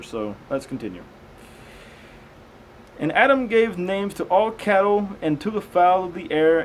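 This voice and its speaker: A man's voice: mid-range voice, a little hiss or hum, poor audio. A man reading scripture aloud, stumbling over unfamiliar words and dropping in casual, conversational comments.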